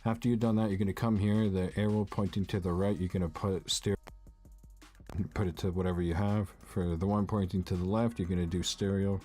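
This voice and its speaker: A young man with a naturally low voice, casually walking viewers through a tech setup step by step, often saying "uh" and "basically". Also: little pitch movement, fairly quick, warm tone, faint music behind. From Canada. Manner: casual, rambling, frequent "uh" and "basically". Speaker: tech tutorial youtuber. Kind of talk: casual screen-recorded tutorial. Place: home room with a bar fridge.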